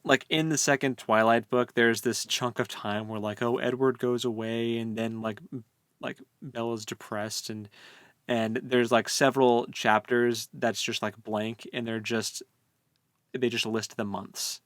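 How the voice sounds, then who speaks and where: A man's voice: medium pitch, fairly even intonation, a little slow, fairly bright tone, no echo, studio quality. A man co-hosting a book discussion in a casual chat style, thinking aloud in a hesitant way. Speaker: podcast co-host.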